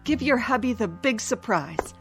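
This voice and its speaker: High-voiced, anxious small-town housewife. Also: female voice, lively intonation, moderate pace, a bit bright, faint music behind. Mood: brittle cheer. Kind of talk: radio drama acting.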